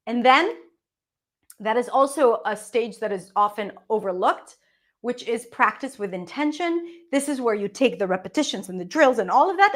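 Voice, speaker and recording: A woman with an Israeli accent coaching English pronunciation in an energetic, emphatic teaching talk, modelling sounds as she goes. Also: fairly high pitch. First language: Hebrew. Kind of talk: teaching webinar.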